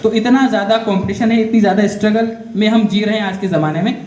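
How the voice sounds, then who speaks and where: Man with a high voice telling stories to a class, keeps asking them questions, roomy echo, faint hiss. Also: fairly even intonation, quick pace, a little mellow. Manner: addresses the audience with frequent direct questions; storytelling, explains step by step. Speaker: career guidance speaker to school students. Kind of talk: motivational talk to a class. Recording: echoey room, faint background noise.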